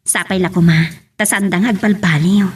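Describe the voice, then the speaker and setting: A woman's voice: lowish voice, steady tone, moderate pace, slightly rough. A woman acting an agreeable household-worker friend in an audio drama, with an Ilocano Filipino accent. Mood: content. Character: agreeable female friend employed as household help.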